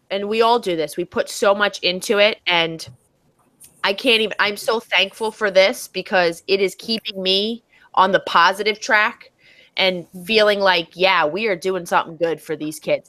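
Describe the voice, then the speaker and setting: A woman's voice: mid-range voice, pushed and bright, dry recording. A woman teacher with a Long Island accent, chatting enthusiastically and rambling on a video call from home. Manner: enthusiastic, rambling, lots of fillers. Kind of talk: casual video call chat.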